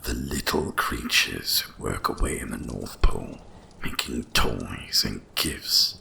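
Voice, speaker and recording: A man voicing an ancient, wise dragon guardian of winter in a slow, solemn, gravelly whisper for an ASMR roleplay. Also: expressive, sharp tone, slight background noise, full character voice. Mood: grand, revealing. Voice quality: deep gravelly breathy whisper. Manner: slow, solemn, deliberate delivery.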